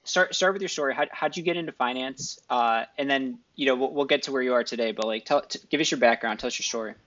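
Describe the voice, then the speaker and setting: Brisk, bright-voiced man hosting. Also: mid-range voice, highs cut off. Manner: laughs often, stumbles and restarts. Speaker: podcast host. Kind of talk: casual interview chat.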